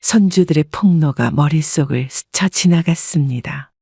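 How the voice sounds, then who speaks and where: Calm woman narrating a reflective story. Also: sits low, moderate pace, breathy edge, dry recording, clean studio sound. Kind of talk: scripted first-person story narration.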